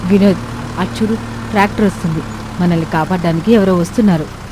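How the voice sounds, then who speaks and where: A woman acts out a selfish young wife in a moral story. She is demanding, dramatic and quick to panic or complain. Faint rain noise runs behind her. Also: fairly low pitch, natural voice. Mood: excited. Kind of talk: Telugu moral story voice acting.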